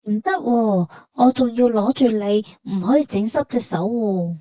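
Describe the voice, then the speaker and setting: A woman with a Hong Kong Cantonese accent narrates a travel vlog. Her voice is fairly high and mellow, conversational as she explains things, and the recording is dry. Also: moderate pace, no room sound. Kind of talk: scripted travel narration and dialogue.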